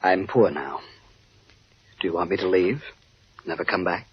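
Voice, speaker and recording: A wealthy young rival in love in a 1950s radio drama. His voice is fairly low and husky. The old recording sounds dull. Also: measured pace, full character voice. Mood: earnest, intimate. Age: young adult. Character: wealthy young gentleman, smooth, bitter, lovestruck rival.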